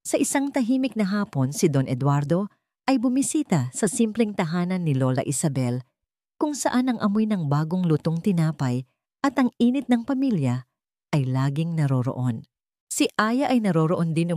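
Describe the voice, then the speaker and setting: A woman narrates a sentimental story in a fairly low voice. Her delivery is measured and earnest, like a scripted audiobook. The sound is dry, with hardly any room on it. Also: a little mellow, no echo.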